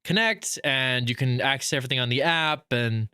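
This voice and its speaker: A chatty man co-hosting a podcast, teasing his friend and rambling easily with plenty of fillers. His pitch is ordinary for a man. The recording is dry, with no room sound. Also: normal speed, pushed and bright, clear, resonant tone, no echo. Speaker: podcast co-host. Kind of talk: casual podcast chat.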